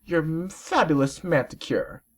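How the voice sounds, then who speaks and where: A woman's voice: medium pitch, very animated, takes it slowly, slightly rough, voice pushed up, exaggerated voice. A woman voicing a refined, fashion-minded young pony mare in a fan story, gushing and theatrical.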